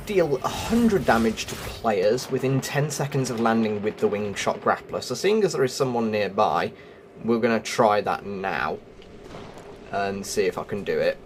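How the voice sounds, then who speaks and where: A man's voice: mid-range voice, background noise. A man giving casual walkthrough commentary as the host of a gaming video. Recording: music behind the voice.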